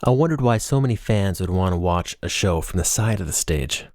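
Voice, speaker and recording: A man's voice: low voice, animated, brisk, darkish tone, no room sound, studio quality. A man reading a first-person novel as scripted audiobook narration.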